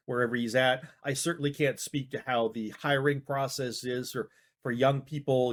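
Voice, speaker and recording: A man giving casual reaction commentary, rambling and hedging with frequent restarts. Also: medium pitch. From Canada. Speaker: YouTube commentator.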